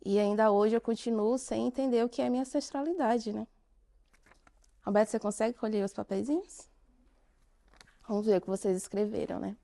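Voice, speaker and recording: A woman with a Brazilian Portuguese accent speaks to a conference audience in a somewhat high voice. She draws listeners in with direct questions and lands her points with emphatic pauses. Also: steady tone, creaky, warm tone. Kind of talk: interactive conference talk.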